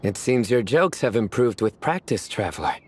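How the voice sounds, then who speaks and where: Beznamiętnego miłośnika kalamburów. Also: male voice, moderate pace, breathy edge. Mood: deadpan. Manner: deadpan, low-key. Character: stern young man who loves bad puns, deadpan. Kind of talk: game cutscene voice acting.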